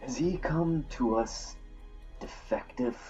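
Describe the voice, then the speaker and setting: A man playing an ominous, mysterious figure in a drama, with a soft, raspy voice. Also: mid-range voice, slow pace, muffled recording, soft background music, close to an everyday voice. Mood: ominous, hushed.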